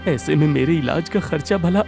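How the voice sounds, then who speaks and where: A man voicing a kind, hardworking village husband in a cartoon moral story, with an expressive, exclamatory delivery. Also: fairly high pitch, normal speed, creaky, over music. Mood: worried.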